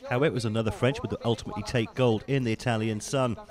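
A man giving polished, scripted voice-over commentary on ski race highlights. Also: fairly even intonation, a crowd in the background. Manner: measured, polished broadcast delivery. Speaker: sports commentator.

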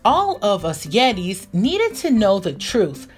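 Slow, very lively woman reading a storybook. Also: medium pitch. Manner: expressive, deliberate storytelling. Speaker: children's storybook reader. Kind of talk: animated read-aloud narration for young children. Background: music.

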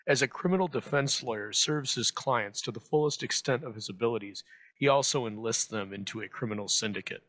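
Bright male presenter, dry sound. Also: mid-range voice, a little fast, dry recording. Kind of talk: scripted narration.

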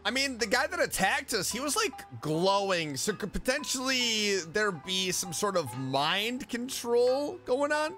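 A man giving casual, excitable and informal live reactions as he plays a video game. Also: high-pitched, a little slow, hardly any pauses. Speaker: gaming video creator. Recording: game music faintly behind the voice.

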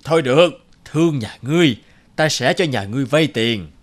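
A man's voice: mid-range voice, animated, fairly quick, lightly acted. A man voicing a cruel, scheming rich landlord. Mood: haughty, menacing. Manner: haughty, threatening, turning fawning before officials. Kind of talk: animated folk tale dubbing.

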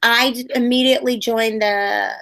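A woman in her forties, a puppeteer, telling stories in a casual interview over a webcam video call from a room at home, acting out quotes with sudden high squeals. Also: steady tone, moderate pace, a bit bright. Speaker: puppeteer guest.

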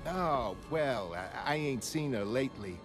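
Guy putting on chatty street vendor, higher pitched, slangy working class, animated, motion comic w loud music. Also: measured pace, natural voice. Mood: casual, chatty. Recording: studio voice over prominent music.

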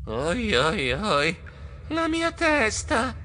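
Guy doing a fretful mouse hero for a kids story, swoopy pitch, super dramatic. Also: huge pitch swings, measured pace, bright, forceful tone, slight background noise, heavily acted. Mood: groaning. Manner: melodramatic, exclamatory. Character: excitable, fearful male mouse adventurer, easily exasperated.

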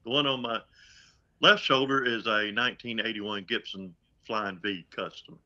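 A man's voice: lowish voice, steady tone, moderate pace, sharp tone. An older man speaking as an interview guest over a video call from home, easygoing and folksy with chuckles. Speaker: guest, concert road crew member. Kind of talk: casual interview chat. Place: home room. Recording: dull video call audio.

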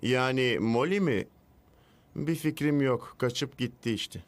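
A man voicing an earnest, easily flustered young race crewman in an animated series dub, eager and hesitant. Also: mid-range voice, takes it slowly, natural voice.

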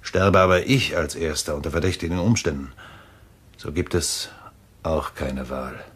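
An actor playing a grimly resolved, obsessed husband in a German thriller radio play, brooding and deliberate in manner, with a husky voice. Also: male voice, extremely low pitch, fairly bright tone, heavily acted. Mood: grim resolve.

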